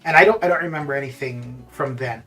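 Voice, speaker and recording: A live streamer of middling pitch, chatting casually and often amused at their own remarks. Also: quick pace, sharp tone. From Canada, born in the Caribbean. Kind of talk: casual chat while streaming. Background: music with guitar.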